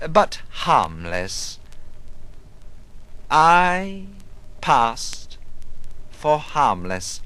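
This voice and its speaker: An actor playing an old, half-mad, bitter but harmless wanderer in a dramatic monologue, rambling in broken phrases with sudden outbursts. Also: male voice, a little high, sharp tone, exaggerated voice. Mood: wry, musing. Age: older. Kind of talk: dramatic literary monologue reading.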